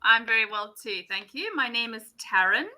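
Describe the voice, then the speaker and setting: A woman's voice: fairly high pitch, expressive, moderate pace, background noise. A South African woman with a South African English accent, tutoring English in a friendly, encouraging way over a video call. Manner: friendly, encouraging, lots of affirming "yeah". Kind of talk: casual conversation class.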